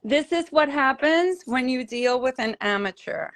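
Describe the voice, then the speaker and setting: A woman's voice: high voice, lively intonation, a little slow, a bit bright. A woman from Puerto Rico, a guest crafter on a live stream, chatting casually and apologizing self-deprecatingly.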